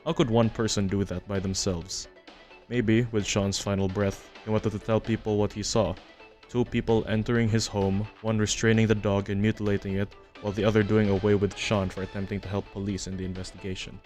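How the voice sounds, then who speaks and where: A man narrating a horror commentary as a video essayist, measured and matter-of-fact, reading from a script. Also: on the low side, little pitch movement, darkish tone. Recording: music under the voice-over. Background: background music.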